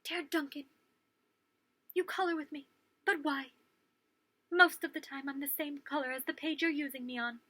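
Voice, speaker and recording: A forlorn storybook crayon that feels empty and overlooked, acted out by a woman. It speaks plaintively in a high, bright voice. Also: fairly even intonation, moderate pace, pauses often, dry recording. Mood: sad, plaintive. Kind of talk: children's picture book read-aloud.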